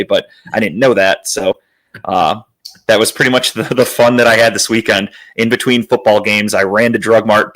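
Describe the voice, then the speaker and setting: A man hosting a podcast in casual chat, telling rambling, profane stories in a slightly raspy, worn, phlegmy voice. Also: lowish voice, fairly bright tone, low-quality recording. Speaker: podcast host.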